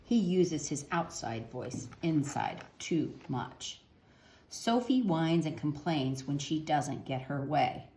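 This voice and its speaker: A woman reading to children in a storytelling style, with clearly phrased, expressive delivery. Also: low-pitched, takes it slowly. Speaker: children's book reader. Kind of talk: read-aloud storytelling for children. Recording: roomy, echoing sound.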